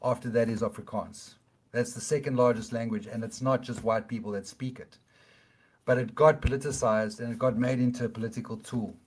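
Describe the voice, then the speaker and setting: Cape South African man explaining haltingly. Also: medium pitch, steady tone, slight background noise. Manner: halting, many restarts, tags points with "okay?". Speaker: guest. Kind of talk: explanatory interview talk.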